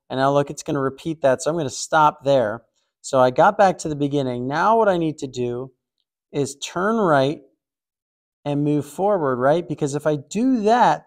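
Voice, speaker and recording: Casual man thinking aloud, coding tutorial. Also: mid-range voice. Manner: thinks aloud, frequent fillers and restarts. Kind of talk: casual screen-recorded walkthrough.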